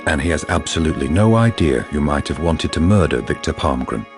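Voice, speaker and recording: An actor as the dry, wry narrator of a detective radio drama, reading scripted narration. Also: male voice, rumbling low, animated, a little fast. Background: music.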